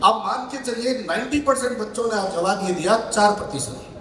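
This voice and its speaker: A hoarse man speaks in a heartfelt, reflective way to a crowd at a public event. He is heard from a distance in an echoing hall. Faint noise runs underneath him. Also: high voice, a little fast, fairly bright tone. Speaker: teacher at coaching institute. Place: large hall.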